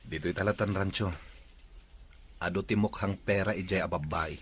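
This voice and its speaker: A man's voice: sits low, fairly even intonation, normal speed, background noise, natural voice. A man playing a terse, brooding former playboy in an audio drama. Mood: dismissive. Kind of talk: Ilocano audio drama dialogue.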